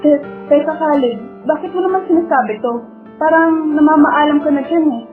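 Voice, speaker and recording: A woman's voice: sits high, normal speed, close to an everyday voice. A woman with a Filipino accent playing a wistful, lovestruck young student who narrates her dreams in a radio drama. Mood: anxious, pleading. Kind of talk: first-person radio drama narration and dialogue. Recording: dull lo-fi audio, music bed. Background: background music.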